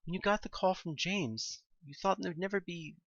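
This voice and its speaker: A man reading scripted second-person audio drama narration in a deliberate, dramatic storytelling manner. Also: sits high, fairly bright tone, no room sound, dull audio.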